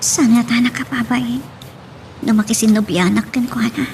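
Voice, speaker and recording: A woman's voice: fairly high pitch, steady tone, normal speed, a little mellow, soft background music, lightly acted. A woman playing an anxious, bashful daughter in an Ilocano audio drama. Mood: bashful, hesitant.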